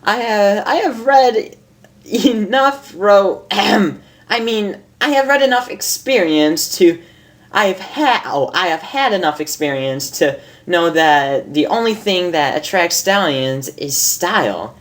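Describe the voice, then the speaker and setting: A woman voicing an elegant young female unicorn dressmaker in a storybook reading, prim and theatrical with affected, drawn-out exclamations. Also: medium pitch, expressive, pitched above the performer's own voice, lightly acted. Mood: boastful, flustered.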